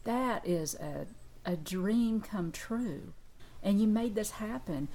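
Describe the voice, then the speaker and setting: A woman's voice: medium pitch, animated, takes it slowly, dark tone. A woman hosting an interview in a conversational style, encouraging and given to folksy exclamations. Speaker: radio host and interviewer.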